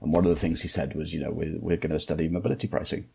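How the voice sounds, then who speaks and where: Northern English male guest, deep husky voice, measured explainer. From Manchester, northern England. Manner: explanatory, steady, lots of fillers. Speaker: guest, transport planner. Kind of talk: podcast interview, explaining.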